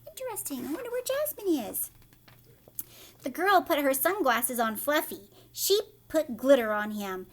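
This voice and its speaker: A woman with a high voice reads a picture book to young children. Her delivery swings up and down expressively, and she steps out of the story to put questions to her listeners like a classroom teacher. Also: sing-song, normal speed. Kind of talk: read-aloud storytelling with asides to young listeners.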